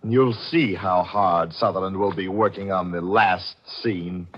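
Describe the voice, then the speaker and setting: An actor playing a cold-blooded, scheming murderer in 1940s radio mystery style, theatrical, gloating and falsely friendly. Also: male voice, low voice, unhurried, over loud music. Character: scheming, cold-blooded male murderer telling his own crime. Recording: dull, lo-fi 1940s radio recording.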